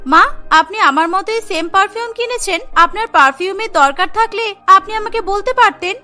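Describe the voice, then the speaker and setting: Woman acting a high-voiced polite young daughter-in-law. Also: bright, forceful tone. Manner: polite, explanatory. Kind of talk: cartoon voice acting. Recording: music bed under the voice.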